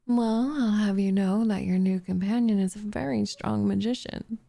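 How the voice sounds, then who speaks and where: A woman's voice: mid-range voice, steady tone, moderate pace, gravelly, dark tone. A woman voicing a doting, possessive witch in an intimate ASMR roleplay, cooing and teasing with smug condescension, often slipping into a whisper close to the microphone. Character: doting, possessive young witch turning captive into pet cat.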